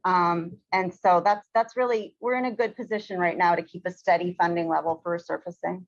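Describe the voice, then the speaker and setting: A woman's voice: fairly low pitch, steady tone, fairly quick, no room sound. Woman official, upbeat, lots of ums, dry close sound, muffled. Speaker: city transportation official. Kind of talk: testimony at a council hearing.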